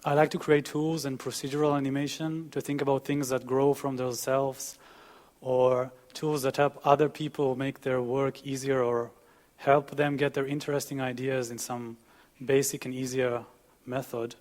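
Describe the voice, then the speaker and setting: A man's voice: medium pitch, flat delivery, moderate pace, a little mellow. A young man from Israel with an Israeli accent, giving a conference presentation as a student. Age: young adult. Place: dim conference auditorium with audience, on a panel. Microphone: headset.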